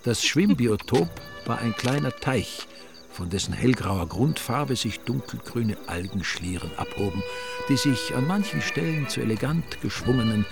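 A man's voice: mid-range voice, animated, normal speed, gravelly, over music. A man narrating a radio drama in a dry, ironic, literary style. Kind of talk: scripted, wry literary narration.

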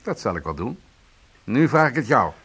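Needling cop, mid-pitched, curt n formal, radio drama interrogation style. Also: male voice, big pitch swings, moderate pace, fairly bright tone, husky, heavily acted. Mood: cool, probing. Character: needling, coolly insistent male police detective. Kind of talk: Dutch radio play acting.

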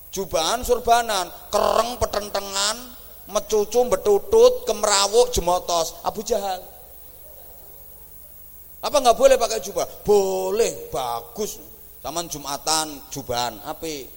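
High-voiced joking East Javanese preacher. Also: male voice. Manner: joking, animated, teasing the audience. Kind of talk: humorous religious sermon in Javanese and Indonesian. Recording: echoey hall.

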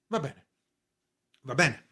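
A man's voice: slow, pushed and bright. A man playing an anxious, indignant bank official under arrest in a radio play, theatrical, moving between politeness and protest. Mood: sulky. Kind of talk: radio drama stage acting.

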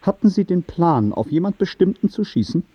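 A man's voice: high-pitched, big pitch swings, a little fast, breathy edge, no echo, dull audio, exaggerated voice. An actor playing a firm, probing male judge in a German radio play, questioning formally and insistently.

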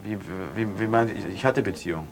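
A man's voice: fairly low pitch, fast, crowd noise, natural voice. A man playing an uneasy brother under questioning in a naturalistic radio drama, answering evasively in broken, restarted sentences. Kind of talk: improvised naturalistic interview drama.

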